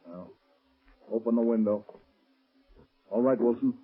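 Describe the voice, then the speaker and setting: An actor playing a cold, menacing crime boss in an old radio drama, sardonic and threatening. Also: male voice, mid-range voice, measured pace, breathy edge. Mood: cold menace. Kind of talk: 1930s-40s radio drama acting. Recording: muffled, tinny vintage radio recording.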